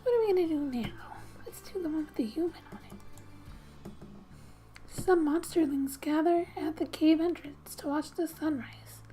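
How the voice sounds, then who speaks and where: A soft-spoken woman reading game text aloud in a gentle, hushed ASMR style with musing asides, often slipping into a whisper. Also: high-pitched, moderate pace, soft background music. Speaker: gaming ASMR host.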